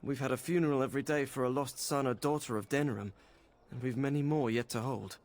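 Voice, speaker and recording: An actor playing a solemn, kindly holy knight guarding a temple in a fantasy game, speaking formally and gravely. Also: male voice, medium pitch, steady tone, fast, darkish tone, natural voice.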